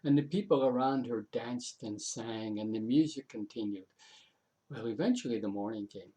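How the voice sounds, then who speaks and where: A man's voice: mid-range voice, mellow, low-quality recording. Man telling a folk tale dramatically. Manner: dramatic, unhurried, repeats phrases for effect, conversational asides. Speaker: storyteller. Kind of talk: oral folk tale storytelling.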